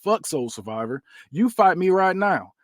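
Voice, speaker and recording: Man voicing a hot-headed wrestler, raised pitch, aggressive, challenging. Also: very animated, moderate pace, no room sound, studio quality. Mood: menacing. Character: hot-headed male wrestler, threatening and volatile.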